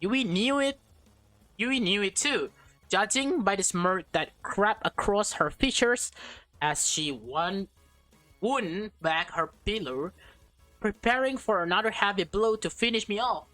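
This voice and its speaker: Slow-reading woman gamer over music. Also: mid-range voice, no echo. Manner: stumbles over words while reading, repeats phrases; slangy, excitable reactions. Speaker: let's player. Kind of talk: reading a visual novel aloud with casual reactions.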